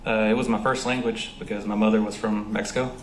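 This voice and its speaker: A man in his twenties, a police officer, giving brief, quiet answers as a witness in court. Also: lowish voice, flat delivery, fast talker. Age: 20s. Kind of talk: courtroom testimony. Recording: echoey courtroom, distant mic, noisy.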